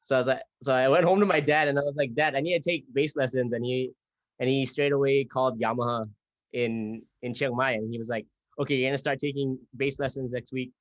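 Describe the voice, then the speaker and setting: A man's voice: mid-range voice, fairly quick, no echo. Thai man telling stories over dull call audio. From Thailand. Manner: relaxed storytelling. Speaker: guest musician. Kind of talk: casual interview answers.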